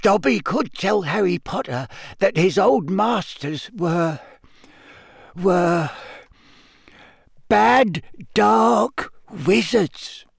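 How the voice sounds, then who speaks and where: A man's voice: high voice, animated, takes it slowly, no echo, studio quality, voice pushed up, heavily acted. A man voicing a small male house-elf for an audiobook, eager, loyal and nervous, speaking in servile, broken grammar. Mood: nervous, hesitant. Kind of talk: audiobook character acting.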